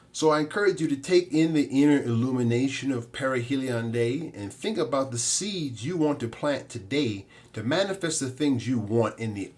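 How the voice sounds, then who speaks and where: A man hosting a podcast, delivering a scripted monologue in a measured, explanatory manner. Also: mid-range voice, darkish tone. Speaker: podcast host and author.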